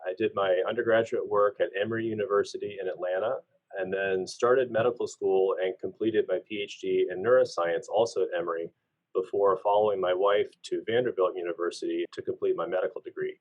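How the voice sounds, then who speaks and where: A man's voice: deep voice, fairly even intonation, darkish tone, lo-fi sound. A male neurologist from Georgia in the US, introducing himself politely and measuredly as a guest. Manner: polite, measured, a few restarts.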